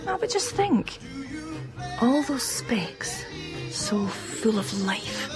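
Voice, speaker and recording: A woman's voice: fairly high pitch, big pitch swings, extremely slow, heavily acted. A woman playing a wistful, fearful mother in a radio drama, hesitant, moving between whispers and outbursts. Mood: dreamy, distracted. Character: wistful, frightened mother reliving her past. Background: music.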